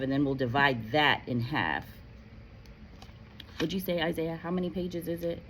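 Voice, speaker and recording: A woman teacher reading aloud to pupils, expressive and addressing her listeners directly, moving between storytelling and casual classroom chat. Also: sits low. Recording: faint background noise.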